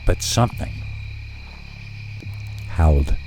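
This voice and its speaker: A man reading a first-person scary story as a narrator, expressive and dramatic, leaning on words with deliberate pauses. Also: soft background music.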